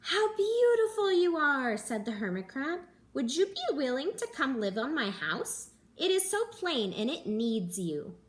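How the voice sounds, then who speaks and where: A young woman, a teacher, reading a picture book aloud to children at home in a gentle, animated storytelling manner. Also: high-pitched, a little slow, few pauses. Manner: gentle, animated storytelling with gasps and character voices. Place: living room at home.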